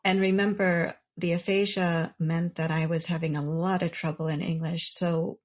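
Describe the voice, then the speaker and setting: A woman author whose first language is Urdu, giving thoughtful, measured answers as an interview guest. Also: on the low side, flat delivery.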